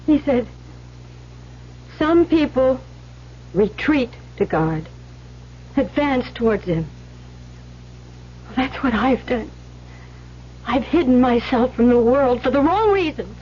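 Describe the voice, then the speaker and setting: An actress playing a meek, troubled young nun in an old radio drama, speaking in an earnest, hesitant, pleading way on a muffled recording. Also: female voice, sits high, lively intonation, takes it slowly, pauses often, slight background noise. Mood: troubled, resolute.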